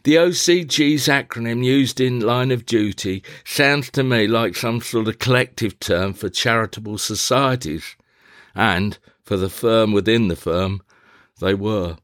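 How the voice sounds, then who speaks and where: A man narrating a scripted, reflective personal diary in a measured, deliberate, formal manner. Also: fairly low pitch, a bit bright, dry recording, clean studio sound. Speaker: diarist, playwright.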